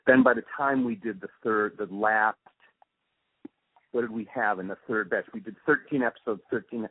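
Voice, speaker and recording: Deep, husky-voiced man in a halting interview. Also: animated. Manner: halting, reflective, often trailing off mid-thought. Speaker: interview guest, radio drama producer and sound mixer. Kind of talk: casual interview recollection. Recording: muffled, narrow phone-like interview recording.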